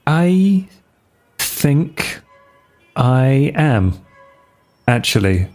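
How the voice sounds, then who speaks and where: Slow-talking man playing his character. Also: medium pitch, very animated, a little mellow, soft background music. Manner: hesitant, casual, with fillers. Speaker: player. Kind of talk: in-character roleplay dialogue.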